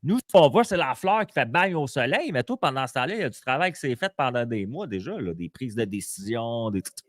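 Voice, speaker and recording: A man's voice: medium pitch, big pitch swings, a little fast, dry recording, clean studio sound. Playful Québécois man hosting a podcast. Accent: Québécois French. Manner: playful, exclamatory, teasing. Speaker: podcast host. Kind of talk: lively live podcast interview. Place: small studio.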